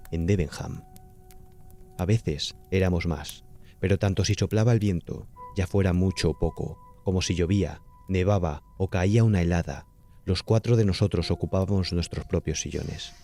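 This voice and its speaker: Brief: male audiobook narrator, Castilian accent, very low gravelly voice, dramatic gothic storytelling. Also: warm tone. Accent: Castilian Spanish. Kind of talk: scripted dramatic horror narration.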